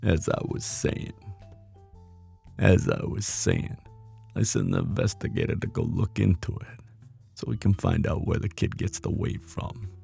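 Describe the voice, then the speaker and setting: A man playing a flirty, menacing mob boss in an intimate ASMR roleplay, with a teasing, cocky drawl and a deep, gravelly voice. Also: unhurried, mellow, soft background music, full character voice. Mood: smug, businesslike.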